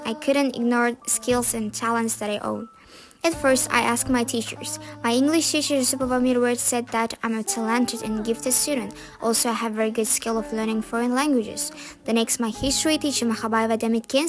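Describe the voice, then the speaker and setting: A teenage girl from Kazakhstan, a native Kazakh speaker with a Kazakh accent, giving an earnest, recited school presentation in English. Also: fairly high pitch. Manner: earnest, recited, occasionally mimics others.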